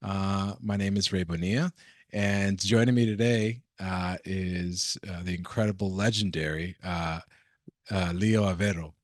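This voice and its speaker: A man's voice: deep voice, steady tone, a little slow, dry recording, clean studio sound. A man hosting an interview podcast, talking casually and hesitantly with lots of fillers. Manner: friendly, hesitant, many fillers. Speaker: podcast host.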